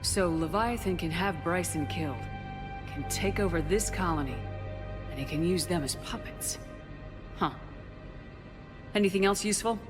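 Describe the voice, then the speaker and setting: A low-pitched actress as a tough female space commander from a video game. She is blunt and commanding, with no patience for nonsense, and music plays clearly behind her. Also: normal speed, pauses often, lightly acted. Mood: brisk, probing.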